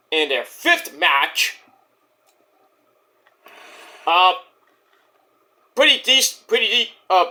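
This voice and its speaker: High, bright-voiced man with a sore throat. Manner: rambling, profane, excitable bursts. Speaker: wrestling show reviewer. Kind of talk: casual spoken review.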